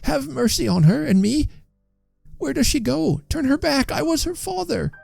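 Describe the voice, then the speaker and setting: Anguished old man, high pleading voice. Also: very animated, normal speed, gravelly, warm tone, slightly rough, soft background music, exaggerated voice. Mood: desperate pleading. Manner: agonized, imploring.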